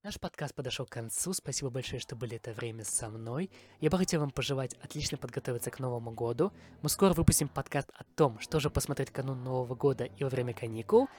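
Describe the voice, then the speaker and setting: A man's voice: medium pitch, quick pace, few pauses, darkish tone, faint music behind. A man from Kazakhstan hosting a podcast, delivering a scripted review monologue. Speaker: podcast host.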